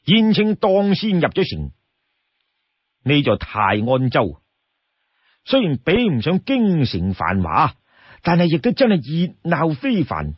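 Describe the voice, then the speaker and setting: A man's voice: on the high side, huge pitch swings, a little slow, long pauses, husky, no room sound, lo-fi sound. A man narrating a tale as a storyteller, in a dramatic scripted style.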